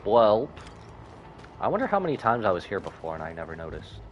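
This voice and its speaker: A man's voice: on the low side, wildly swooping pitch, fairly quick, muffled recording, slight background noise. A man streaming a video game, commenting casually and musing aloud with some self-deprecation. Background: game music.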